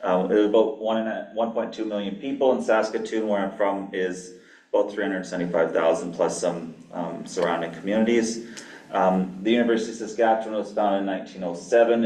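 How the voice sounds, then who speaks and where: Canadian prof guy, deep monotone, sounds far from the mic in a big room. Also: fairly quick. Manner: flat, dry asides, many ums. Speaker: guest lecturer, pharmacy professor. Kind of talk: academic lecture with slides. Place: lecture hall. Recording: echoey hall, mic far off.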